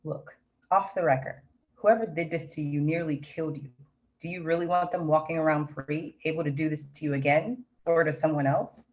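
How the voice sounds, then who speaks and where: A man's voice: fairly high pitch, steady tone, fairly quick, muffled recording, close to an everyday voice. A man playing a persistent, frustrated police detective, questioning plainly in a screenplay read-through. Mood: frustrated, insistent. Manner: probing, plain-spoken questioning. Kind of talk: screenplay table read acting.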